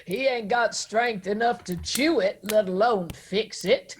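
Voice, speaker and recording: An actress playing a wry, long-suffering mountain wife in a radio drama, with an Appalachian accent. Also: female voice, mid-range voice, unhurried, a little hiss or hum, lightly acted.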